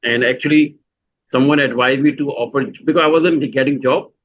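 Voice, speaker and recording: A middle-aged man with a Pakistani accent, answering interview questions in a halting way, full of "you know" fillers and restarts. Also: medium pitch, fairly even intonation, narrow, tinny audio, slight background noise. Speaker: guest.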